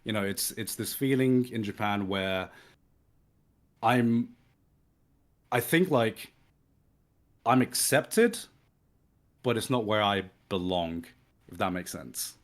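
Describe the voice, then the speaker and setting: A young man with a British accent and a somewhat low voice, speaking slowly and carefully as a guest. He hesitates often, restarting his sentences as he searches for the right words. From England. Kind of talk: casual conversation.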